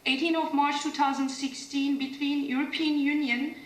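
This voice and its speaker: A woman's voice: high voice, level tone, normal speed, roomy sound, rough recording. A woman from Turkey giving an academic conference talk over a video call, hesitant, with many fillers and restarts. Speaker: conference panelist. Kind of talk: academic presentation. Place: room in Germany.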